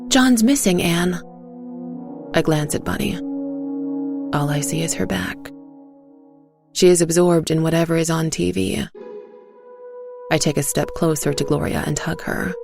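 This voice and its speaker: A woman narrating a dark fairy tale in the first person, quiet and tired, with music under her voice. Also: sits low, steady tone, normal speed, vocal fry, long pauses.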